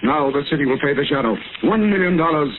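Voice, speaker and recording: Actor as fast, high-voiced, demanding mayor. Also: male voice, lively intonation, close to an everyday voice. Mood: insistent. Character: demanding, hot-tempered city mayor under pressure. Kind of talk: 1930s radio drama acting. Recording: scratchy, noisy, tinny 1930s radio recording.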